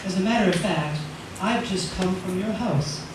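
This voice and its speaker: A man's voice: high-pitched, moderate pace, lightly acted. Man playing a smug, sly villain, very echoey. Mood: sly, genial. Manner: knowing, mock-polite, chuckling menace. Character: smug, sly male proprietor, menacingly genial. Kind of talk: old-time radio drama acting. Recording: very echoey, distant, noisy.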